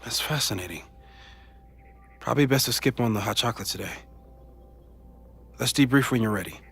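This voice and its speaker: Game role: geeky American male scientist, husky mid-range voice, reassuring, thoughtful, dryly playful, light music behind. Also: medium pitch, brisk, heavily acted. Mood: wry, fascinated. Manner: thoughtful, gently joking. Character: warm, geeky, reassuring young male physicist friend. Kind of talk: naturalistic video game acting. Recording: music under the voice.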